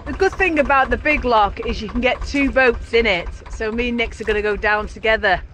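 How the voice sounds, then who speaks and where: A woman chatting casually to camera from a canal narrowboat, cheeky and quick to laugh. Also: a little high, fairly bright tone, slight background noise. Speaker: narrowboat vlogger. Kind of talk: casual vlog chat. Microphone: action camera at arm's length.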